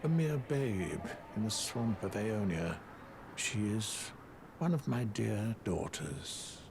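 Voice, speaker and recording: An actor playing a cunning, scornful aging sage in a fantasy game, theatrical and musing, dropping at times into hushed phrases. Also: male voice, fairly low pitch, lively intonation, slow, creaky, over music, full character voice. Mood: wistful. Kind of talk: scripted fantasy game dialogue.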